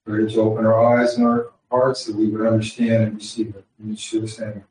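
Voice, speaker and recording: A man leading an opening prayer in an earnest, steady way. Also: lowish voice, fairly quick. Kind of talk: spoken prayer. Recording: very echoey room, far from the mic.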